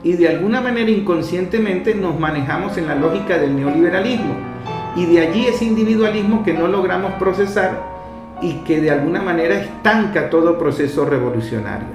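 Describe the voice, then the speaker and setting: A man's voice: high-pitched, lively intonation, a little fast. A Venezuelan man hosting a radio interview, speaking in long, discursive sentences. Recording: roomy echo, faint music behind.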